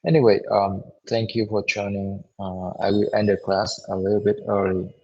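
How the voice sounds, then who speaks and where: A hoarse man giving a university lecture online, halting, with many repeats and fillers. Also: on the low side, little pitch movement, darkish tone, slight background noise. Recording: dull lo-fi audio.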